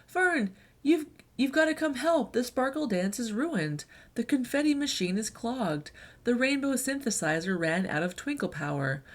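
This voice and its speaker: A woman's voice: fairly high pitch, sing-song, moderate pace, hardly any pauses, voice pushed up. A woman voicing young storybook unicorns in a pleading, earnest manner. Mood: worried, pleading.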